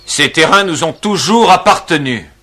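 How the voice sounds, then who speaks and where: A man's voice: fairly high pitch, lively intonation, moderate pace, bright, forceful tone. A man voicing a proud, defiant chief of prehistoric hunters in a cartoon, assertive and boastful. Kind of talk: animated cartoon acting.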